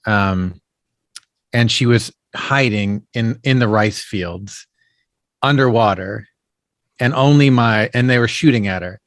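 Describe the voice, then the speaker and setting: Low-voiced man telling family stories. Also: slow pace, long pauses, dry recording. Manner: rambling storyteller, leans heavily on "you know" and "um". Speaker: actor and puppeteer guest. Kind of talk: casual podcast interview.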